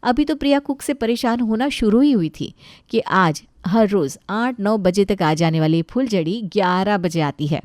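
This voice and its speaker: A woman's voice: mid-range voice, expressive, normal speed, a little mellow, no echo, studio quality. A woman storyteller reading scripted narration of a family tale.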